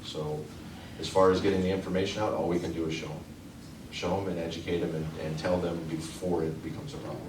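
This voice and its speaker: A man with a very deep voice answers questions earnestly, often stopping to restart his sentences. He is heard from a distance in an echoey meeting room, over a steady background noise. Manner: earnest, halting, many restarts. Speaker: union representative.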